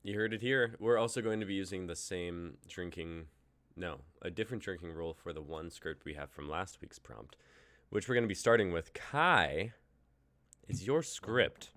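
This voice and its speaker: A man's voice: low voice, normal speed, a bit bright. A man in his twenties hosting a casual livestream chat, upbeat and jokey as he runs the show. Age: 20s. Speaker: host.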